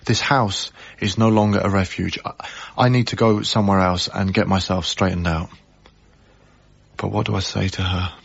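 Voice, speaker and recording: An actor playing a troubled, haunted young lodger in a radio drama, speaking in a hesitant, tender way over music in a slightly muffled broadcast. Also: male voice, low-pitched, fairly even intonation, vocal fry, husky, exaggerated voice. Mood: troubled, resolved. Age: young adult. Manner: hesitant, brooding, halting. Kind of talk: radio drama acting, intimate monologue.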